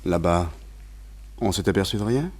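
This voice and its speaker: Suave low-voiced scoundrel. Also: male voice, lively intonation, normal speed, darkish tone, slightly rough. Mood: wooing, offhand. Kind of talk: French radio play acting.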